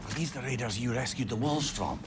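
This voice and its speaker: A man's voice: mid-range voice, a little fast, very noisy, lightly acted. An actor playing a wry, chatty male know-it-all companion with a Scottish accent in a video game. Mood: wry, reassuring. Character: severed talking head, witty know-it-all male companion. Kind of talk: video game character acting.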